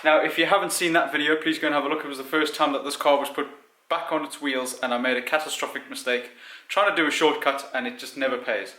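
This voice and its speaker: A man's voice: fairly high pitch, fairly even intonation, fast talker, sharp tone. A man who grew up in Zimbabwe, chatting casually and self-deprecatingly about fixing up his car in his home garage. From born in South Africa, grew up in Zimbabwe. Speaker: car vlogger. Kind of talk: casual chat while working on a car.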